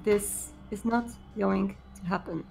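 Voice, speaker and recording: A woman voicing a solemn, courteous male vampire prince in a tabletop game, speaking formally and deliberately. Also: medium pitch, steady tone, gravelly, dark tone, faint music behind. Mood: regretful, firm.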